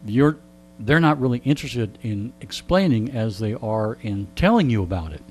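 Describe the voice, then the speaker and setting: A man's voice: medium pitch, animated, normal speed, darkish tone, faint music behind. A man talking casually as a radio interview guest, telling anecdotes with a wry, joking manner. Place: radio studio.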